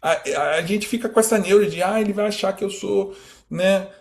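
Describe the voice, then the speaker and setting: A Brazilian man in his thirties from Rio, a guest talking casually in an interview, animated and full of fillers. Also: sits high, fairly quick, vocal fry. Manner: animated, quotes imagined speech, many fillers.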